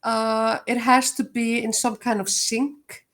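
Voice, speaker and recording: An older woman with an Icelandic accent speaks in a fairly high voice. She talks reflectively and casually, with many small pauses and fillers. She laughs lightly between her thoughts. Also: steady tone, a bit bright. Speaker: guest, HR leader. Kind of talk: casual interview answer.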